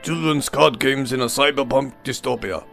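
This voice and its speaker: A man voicing an aloof, laconic samurai assassin in a video game, curt and deadpan. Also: medium pitch, moderate pace, vocal fry, soft background music. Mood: deadpan, evasive.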